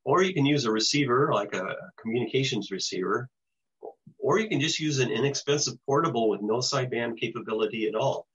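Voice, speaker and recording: An older American man around seventy with a husky voice, giving an informal presentation in a halting, explanatory way over a video call. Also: medium pitch, animated. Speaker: presenter, shortwave broadcaster. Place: small home room with bookshelves, indoors, on video call. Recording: dull, lo-fi video call audio.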